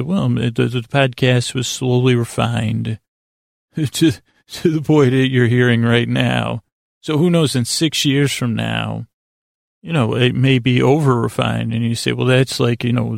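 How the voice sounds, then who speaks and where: A man with a heavily creaky, gravelly voice at an average pitch hosts a bedtime podcast. He meanders through an informal monologue, halting and doubling back in a self-deprecating way. The recording is very dry, with no sense of the room. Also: no room sound. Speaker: host of a bedtime sleep podcast.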